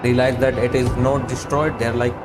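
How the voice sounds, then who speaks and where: A man's voice: medium pitch, flat delivery, normal speed, rough recording. A man doing casual live game commentary in short offhand asides as he streams. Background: game music.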